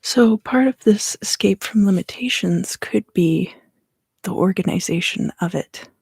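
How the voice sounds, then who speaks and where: A woman from the southern US East Coast giving a soft, unhurried ASMR card reading in whispers, often pausing. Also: mid-range voice, gravelly, husky, dry recording. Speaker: tarot reader and ASMR creator.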